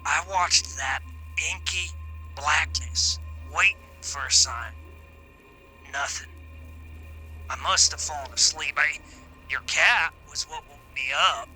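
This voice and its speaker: A jumpy old rural loner. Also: male voice, fairly high pitch, slow, pauses often, sharp tone, faint music behind, full character voice, low-quality recording. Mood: uneasy. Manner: rustic drawl, uneasy storytelling. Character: jumpy old rural man on his porch. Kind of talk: radio drama acting.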